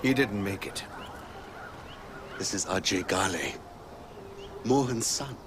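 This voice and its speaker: An actor playing an earnest, measured rebel commander in a video game cutscene. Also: male voice, lowish voice, animated. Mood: warm, grave. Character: earnest rebel commander, welcoming but harried by war. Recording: noisy game soundtrack with outdoor ambience.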